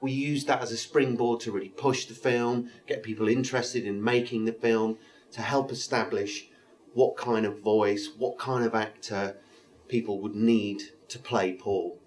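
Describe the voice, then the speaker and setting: Easygoing low-voiced man from an animation team. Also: steady tone, a little slow, darkish tone. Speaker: animation studio member. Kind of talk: interview answers.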